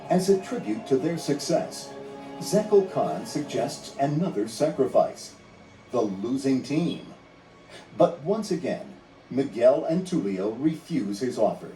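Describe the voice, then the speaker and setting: A man narrating a scripted storybook read-along in a measured, dramatic storytelling manner. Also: mid-range voice, big pitch swings, mellow, reverberant, far from the mic, faint music behind, poor audio.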